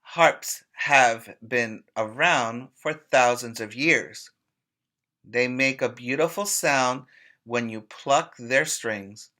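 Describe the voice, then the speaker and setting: Guy teacher reading to little kids, real slow and emphatic, bright forceful voice. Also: mid-range voice. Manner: deliberate, emphatic, pausing to ask questions. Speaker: preschool teacher. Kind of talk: read-aloud lesson for young children.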